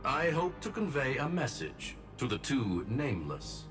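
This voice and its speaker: A man voicing a formal, composed gentleman robot in a video game, precise and polite in his wording. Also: medium pitch, big pitch swings, measured pace, over music. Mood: composed, courteous. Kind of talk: English game voice acting.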